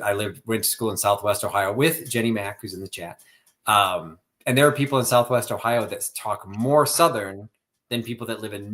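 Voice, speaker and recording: Low-voiced Midwestern man co-hosting. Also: a bit bright. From Chicago suburbs. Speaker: live sale co-host. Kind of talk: casual live-stream chat.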